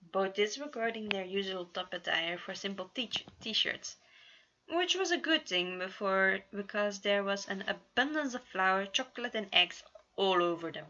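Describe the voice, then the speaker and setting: A woman's voice: medium pitch, expressive, normal speed, sharp tone. A woman reading fan fiction aloud as an amateur narrator, often tripping on words and correcting herself. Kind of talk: story reading with character voices. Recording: dull lo-fi home recording.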